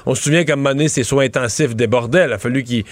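A man's voice: mid-range voice, racing, no echo. A middle-aged man with a Québécois French accent, giving rambling political analysis in a casual chat and often restarting his sentences. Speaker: political commentator.

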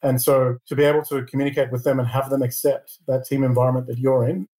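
A middle-aged man born in Iran who speaks answers interview questions in a rapid, level voice. His tone is mellow and dark. He is measured and often repeats a word as he starts a phrase. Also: medium pitch, little pitch movement. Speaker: interview guest, lawyer and board chair.

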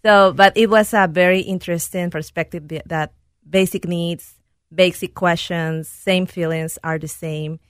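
A woman's voice: lowish voice, fairly even intonation, measured pace, no echo, clean studio sound. A woman from Peru, a talk-show guest telling her story earnestly and sometimes searching for words in English. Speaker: guest, program director. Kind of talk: casual radio talk-show conversation.

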